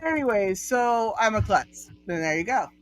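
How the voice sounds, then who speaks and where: A man's voice: high voice, animated, moderate pace, no echo. A man hosting a casual live-stream chat, rambling, laughing often and losing his thread. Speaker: host.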